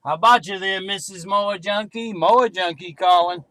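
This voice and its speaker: A man's voice: high-pitched, moderate pace, pushed and bright, a little hiss or hum. A man making casual radio calls, repeating call signs and numbers as a hobbyist would. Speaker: CB radio hobbyist running a range test.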